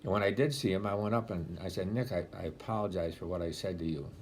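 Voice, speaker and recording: A man in his eighties with a deep voice, speaking as a deacon in a homily-style talk, telling stories with gentle dry humor, filmed on a laptop webcam at home. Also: fast, slight background noise. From Rochester, New York area. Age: 80s. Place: home room, indoors. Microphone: laptop webcam at close range.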